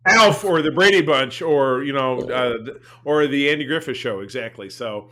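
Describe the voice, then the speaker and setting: A man's voice: medium pitch, moderate pace, a crowd in the background. A middle-aged man co-hosting a podcast, chatting casually in a rambling, emphatic way. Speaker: podcast co-host. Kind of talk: casual conversational podcast chat.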